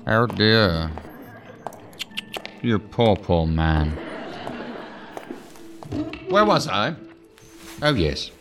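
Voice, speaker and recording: A man's voice: on the low side, sing-song, very slow, long pauses, full character voice. A man playing a pompous, theatrical university professor in an audio drama, speaking in florid, lecturing phrases. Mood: mock pity. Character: pompous, theatrical male university professor, cynical about humankind. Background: faint music.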